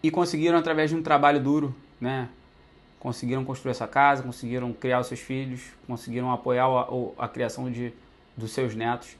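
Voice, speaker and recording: A man's voice: mid-range voice, flat delivery, a little fast. A young man from Brazil telling his own life story to the camera in a casual, conversational way, often tagging sentences with "né". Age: young adult. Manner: conversational, many fillers like "né" and "pô", addresses viewers directly.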